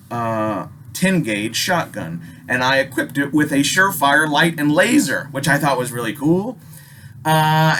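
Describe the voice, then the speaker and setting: A man's voice: mid-range voice, a bit bright, slight background noise. A middle-aged man telling a personal story in a casual, rambling way, swearing often and hammering his points home with emphasis. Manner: profane, emphatic, digressive. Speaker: storyteller and video host.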